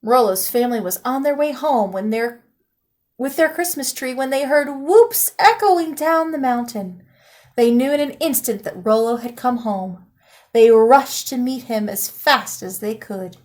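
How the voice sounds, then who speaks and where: A woman teacher reads a picture book aloud to children. Her voice sits a little high for a woman. Her storytelling is lively and expressive, rising on the exciting parts. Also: a little slow.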